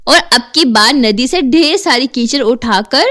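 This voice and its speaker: A woman reading scripted narration for an animated horror storybook tale. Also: high voice, a little slow.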